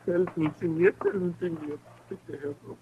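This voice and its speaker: A man's voice: on the high side, normal speed, lightly acted. A man acting an agitated immigrant farmer in a 1950s radio western, insistent and protesting. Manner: excitable, insistent. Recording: dull, faintly noisy vintage radio recording.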